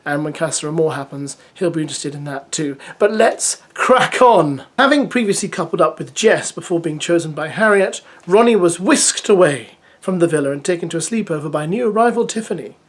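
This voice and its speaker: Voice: medium pitch, expressive, fairly quick, few pauses. A vlogger who reads a reality show episode preview and then gives chatty, opinionated, exasperated asides.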